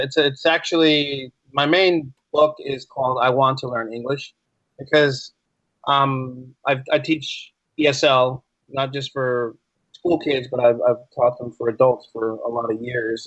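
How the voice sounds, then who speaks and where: A man's voice: mid-range voice, fairly bright tone, dry recording. Man chatting in dry, dull audio. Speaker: podcast guest, ESL teacher. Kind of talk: casual interview chat.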